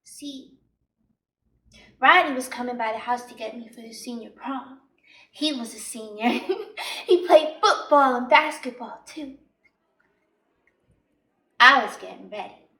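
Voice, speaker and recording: A woman playing an embittered, unhinged young woman jealous of her sister, telling her story slowly and swinging into bitter outbursts in a dramatic stage monologue. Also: a little high, animated, sharp tone, heavily acted. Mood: wistful, excited. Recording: roomy echo, distant microphone.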